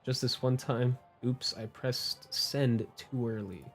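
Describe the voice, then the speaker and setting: A man's voice: medium pitch, steady tone, slow pace. Playful young male game streamer. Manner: playful, slangy, joking. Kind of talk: casual live game commentary, reading dialogue aloud. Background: game background music.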